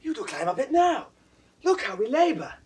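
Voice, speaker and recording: A man's voice: extremely high pitch, very animated, moderate pace. Wildly swooping young nobleman in disguise. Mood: urgent, persuasive. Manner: theatrical, shifting between hushed and exclaiming. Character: disguised young nobleman guiding his blind father, tender, urgent. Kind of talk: classical Shakespearean stage acting. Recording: distant, noisy 1960s studio drama recording.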